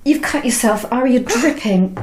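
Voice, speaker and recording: A woman's voice: fairly high pitch, lively intonation, normal speed, darkish tone, natural voice. An actress playing an alarmed, practical, motherly helper in an audio drama, urgent and coaxing. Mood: alarmed, caring. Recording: echoey room with slight noise.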